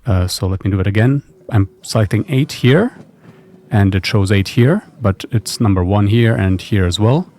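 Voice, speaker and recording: Low-voiced man, casual screencast narration. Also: normal speed, a little mellow. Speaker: software developer presenting own tool. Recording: noticeable background noise.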